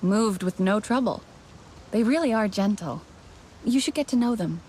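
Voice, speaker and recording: A woman playing an earnest, friendly young alien heroine in a video game, speaking in a sincere, gentle way. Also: mid-range voice, animated, normal speed, a little hiss or hum, lightly acted, low-quality recording. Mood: curious, friendly. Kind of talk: video game dialogue acting.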